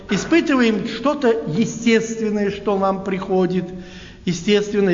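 A man preaching a sermon in a halting, unhurried way, often asking his listeners rhetorical questions. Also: high voice. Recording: echoey hall, dull lo-fi sound.

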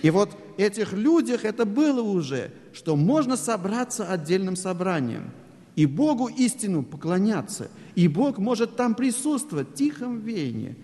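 A male preacher delivers a sermon in an echoey church hall. His voice sits high and moves a great deal in pitch. He talks in an explaining way, asks rhetorical questions, and speaks Russian as a learned second language. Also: big pitch swings, moderate pace, dark tone.

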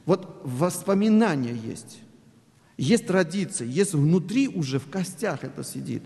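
Preacher with a high, lively voice in an echoey hall. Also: male voice, a little slow, gravelly. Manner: explanatory, with rhetorical questions and many fillers; speaks Russian as a second language. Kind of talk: sermon.

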